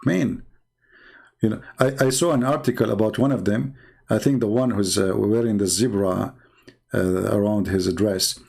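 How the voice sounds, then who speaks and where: A man's voice: lowish voice, gravelly, darkish tone. A man from the Middle East, a native Arabic speaker with an Arabic accent, hosting a casual live stream in a sarcastic, mocking way. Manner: sarcastic, mocking, repeats phrases for emphasis. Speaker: live show host and religious apologist.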